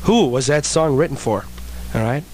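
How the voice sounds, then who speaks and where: A man's voice: mid-range voice, lively intonation, moderate pace, darkish tone. A young man co-hosting a college radio show, babbling and teasing his partner. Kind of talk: casual college radio banter. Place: radio studio. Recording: old radio aircheck with faint hiss.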